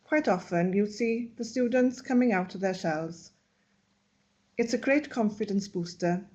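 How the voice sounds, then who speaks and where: A woman teacher reading out a webinar presentation in a measured, instructional way. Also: mid-range voice, darkish tone, highs cut off. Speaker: Welsh language teacher. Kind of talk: read-aloud webinar presentation.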